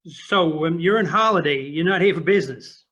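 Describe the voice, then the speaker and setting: An actor in an audio play playing a helpful American official, reassuring and gently joking. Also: male voice, fairly high pitch, moderate pace, highs cut off, natural voice. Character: helpful, genial American official living in Austria.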